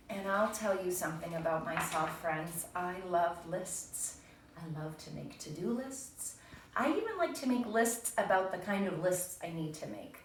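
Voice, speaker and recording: Woman teacher in a very echoey room. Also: fairly low pitch. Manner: explanatory, addresses children directly as friends. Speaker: primary school teacher. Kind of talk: read-aloud reading lesson for young children. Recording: echoey room, distant mic, faint noise.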